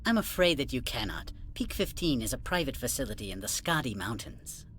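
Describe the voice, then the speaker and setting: Haughty, curt alien administrator, noisy backdrop. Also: male voice, medium pitch, big pitch swings, fairly quick, a bit bright. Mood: curt, irritated. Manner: condescending, clipped, formal. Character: haughty, curt alien corporate administrator, male, dismissive of outsiders. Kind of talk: video game cutscene acting.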